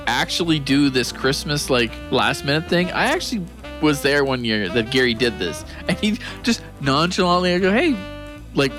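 A man with a lively, swooping voice who tells anecdotes by acting out the voices in them and laughs as he goes. It is a relaxed podcast chat, with music playing clearly behind him. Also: fairly high pitch, normal speed. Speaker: podcast co-host. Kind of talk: casual chat.

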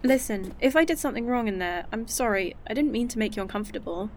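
A woman's voice: on the high side, quick pace, a little mellow, slight background noise, lightly acted. A woman playing an earnest young scientist displaced in time, in an audio drama.

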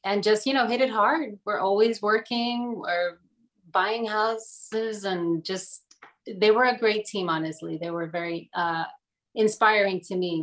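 A woman from New Jersey, a guest in a casual interview, talking in a relaxed, reflective way with many fillers. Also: medium pitch.

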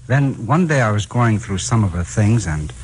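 An actor with an American accent playing a mild, courteous, loyal husband in a 1950s radio drama. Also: male voice, on the low side, expressive, normal speed, darkish tone, slightly rough. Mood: earnest, regretful. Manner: earnest, courteous. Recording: noisy 1950s radio recording.

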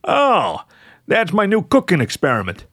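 Man voicing a cheeky fox, pitched up and lively. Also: normal speed, slightly rough, full character voice. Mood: eager. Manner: stammering, hesitant when embarrassed, playful. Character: cheeky, flirty male fox roommate, defensive and eager.

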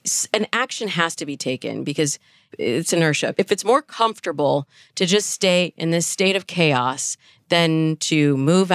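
A woman's voice: deep voice, no room sound, studio quality. A woman speaking as a dating coach and interview guest, explaining relationship advice conversationally with illustrative examples.

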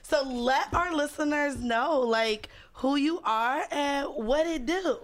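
Raspy, high-voiced woman podcaster. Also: slow pace. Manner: slangy, profane, playful. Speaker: podcast co-host. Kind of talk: casual, unfiltered podcast banter.

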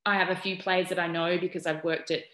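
A middle-aged Australian woman from Newcastle, a former basketball player, answering interview questions in a chatty, animated way with lots of ums and restarts. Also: on the low side, quick pace. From Newcastle, New South Wales, Australia. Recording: echoey room.

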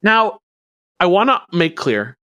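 A man's voice: high-pitched, very animated, slow, fairly bright tone, dry recording. A man hosting a podcast, explaining things in a casual, rambling, conversational way. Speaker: podcast host presenting a researched topic.

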